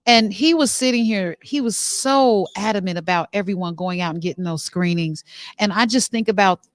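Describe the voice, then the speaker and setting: A woman's voice: mid-range voice, normal speed, slight background noise. A woman hosting a show, conducting a casual interview on location in a conversational way. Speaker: talk show host interviewing.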